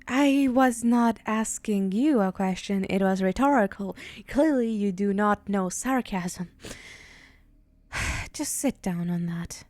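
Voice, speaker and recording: A woman voicing a haughty, teasing and menacing demon in an ASMR roleplay, condescending and deliberate, with breathy whispered moments. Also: mid-range voice, darkish tone, no room sound, natural voice. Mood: disdainful, impatient.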